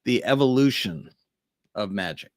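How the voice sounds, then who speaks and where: A man's voice: lowish voice, lively intonation, moderate pace, dry recording. A middle-aged man co-hosting a casual chat, laid-back and rambling. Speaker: magician and co-host. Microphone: studio mic.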